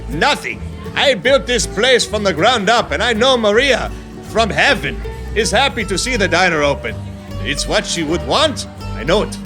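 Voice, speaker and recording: A man's voice: high voice, pushed and bright, heavily acted. A man playing a proud, emotional, grieving diner owner in a radio drama.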